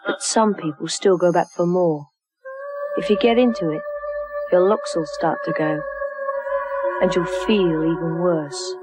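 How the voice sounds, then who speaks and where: Woman narrating over clear background music. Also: fairly low pitch, measured pace, long pauses, rough recording. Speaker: public information film narrator. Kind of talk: scripted warning voice-over.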